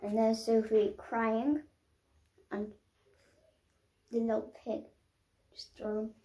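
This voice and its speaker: A woman reads a children's storybook aloud in a fairly high voice, taking her time over each phrase. She is expressive and stops to explain the pictures. The recording has a roomy echo. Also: slow. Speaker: storybook reader. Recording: roomy, echoing sound.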